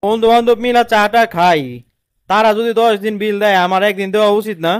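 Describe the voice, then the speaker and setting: A man's voice: high-pitched, steady tone, quick pace, noisy background, natural voice. A man voicing a put-upon, exasperated young cartoon husband with a protesting manner and a Bangladeshi accent. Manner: protesting, complaining. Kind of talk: comedy cartoon dialogue.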